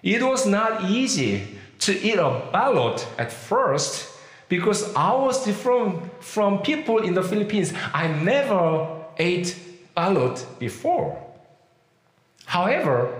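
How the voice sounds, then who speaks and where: A man's voice: sits high, sing-song, takes it slowly, echoey room, poor audio. A man from Korea with a Korean accent, whose first language is Korean, preaching a sermon as a pastor and acting out the voices of the people he quotes. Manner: acts out quoted voices, leans on key words.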